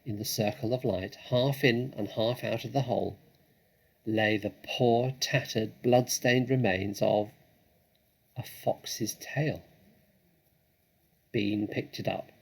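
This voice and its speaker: A man narrating a children's story dramatically, with expressive, suspenseful phrasing. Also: lowish voice, slow pace, gravelly, long pauses, slightly rough. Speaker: storybook reader.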